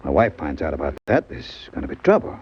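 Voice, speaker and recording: A man playing a big, shifty pool parlor owner of about forty in a radio crime drama, with a husky voice and an urgent, insistent manner. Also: rumbling low, huge pitch swings, fairly quick, a little hiss or hum, heavily acted. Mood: uneasy, furtive. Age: middle-aged. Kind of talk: old-time radio crime drama acting. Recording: dull vintage radio recording.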